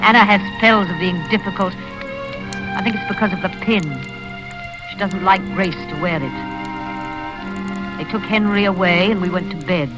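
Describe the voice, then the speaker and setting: An actress in a vintage radio drama playing a prim, unflappable, fussy spinster with a genteel, matter-of-fact way of speaking. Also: female voice, medium pitch, lightly acted, poor audio. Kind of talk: 1940s-50s radio drama acting, first-person narration. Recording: vintage radio recording with music.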